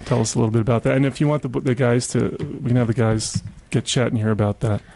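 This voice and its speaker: A man's voice: medium pitch, fairly even intonation, fast, vocal fry, mellow. An American man hosting a casual community radio interview, chatting and murmuring agreement as he goes. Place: radio studio.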